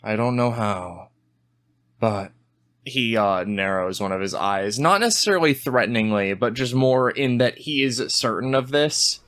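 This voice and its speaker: A man's voice: mid-range voice, animated, normal speed, few pauses, a bit bright. A man narrating his character's actions as a player in a tabletop role-playing game.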